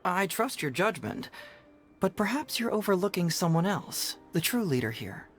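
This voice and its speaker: An actor playing a confident, playful young male detective in a video game, with a smooth, self-assured delivery. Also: high voice, big pitch swings, moderate pace, a bit bright, full character voice. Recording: music behind.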